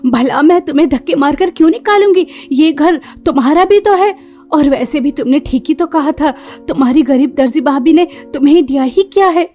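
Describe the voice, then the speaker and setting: Woman plays caring, humble cartoon sister-in-law, a young tailor; very high, earnest voice over music. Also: normal speed, dark tone, close to an everyday voice. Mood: warm, reassuring. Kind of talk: cartoon story dialogue. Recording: music behind the voice.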